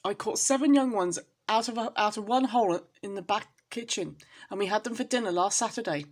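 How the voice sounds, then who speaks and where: A woman's voice: medium pitch, brisk, voice pushed up, natural voice. A woman voicing an anxious, tearful mother cat in a children's storybook, lamenting in a distressed way. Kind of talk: children's storybook character reading.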